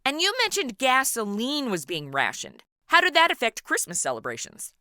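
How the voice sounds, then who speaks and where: A woman hosts an interview in a bright voice with very lively intonation. She is casual and quippy, asking questions conversationally. The recording is close and dry, without room sound. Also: on the high side, normal speed, no room sound. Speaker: podcast host.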